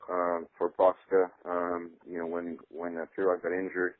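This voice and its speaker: A man giving an unscripted promotional statement as a boxing promoter on a conference call, measured, halting and matter-of-fact. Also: deep voice, completely flat delivery, no echo. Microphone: telephone conference call. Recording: dry, narrow telephone line.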